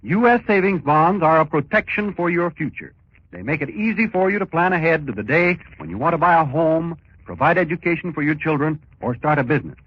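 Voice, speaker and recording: A man's voice: a little high, animated, fairly quick, slightly rough, no echo. An American man announcing from a script in emphatic, formal 1940s radio style. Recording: muffled 1940s radio recording.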